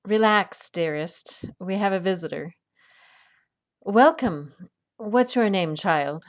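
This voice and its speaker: Woman acting a kindly, wry adventuress, mid-pitched. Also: expressive, takes it slowly. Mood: gentle, reassuring. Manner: gentle, reassuring, dryly witty. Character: kindly, patient, wry adventuress and gentle peacemaker. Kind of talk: audio drama acting.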